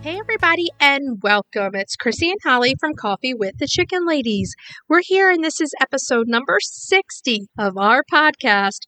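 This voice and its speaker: A woman's voice: high-pitched, big pitch swings, normal speed, bright, forceful tone, soft background music. A woman co-hosting a podcast in casual chat, upbeat and chatty, slightly congested while recovering from illness. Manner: chatty, upbeat, laughs easily. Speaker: podcast co-host. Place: small home studio, at a table.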